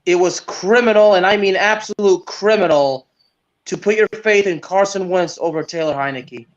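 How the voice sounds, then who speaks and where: High-voiced man giving emphatic football opinions. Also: moderate pace, dull audio. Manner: emphatic, repeats words for stress. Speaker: sports podcast co-host. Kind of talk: opinionated casual football commentary.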